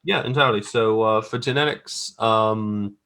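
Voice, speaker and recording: A man's voice: fairly low pitch, moderate pace, creaky, bright, forceful tone, smooth. A man talking casually as an interview guest about his creative work, hesitant and thinking aloud. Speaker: guest, graphic artist.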